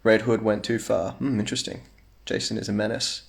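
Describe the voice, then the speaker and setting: A man's voice: on the low side, level tone, fairly quick, gravelly. A young man chatting casually on a phone livestream at home, reading fan comments aloud with playful asides.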